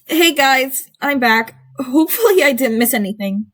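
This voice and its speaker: A woman voicing a gentle, polite teenage boy who puts on a friendly, reassuring front, in a story reading. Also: high-pitched, expressive, normal speed. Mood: forced cheer.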